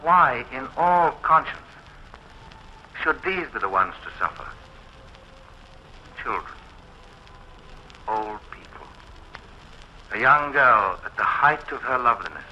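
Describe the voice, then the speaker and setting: Piercing, solemn wartime vicar. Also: male voice, medium pitch, very animated, sharp tone, full character voice. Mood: grave, questioning. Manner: measured, declamatory, rising to fervour. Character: solemn, resolute male English village vicar preaching. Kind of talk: solemn 1940s dramatic oratory. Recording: muffled, hissy 1940s radio recording.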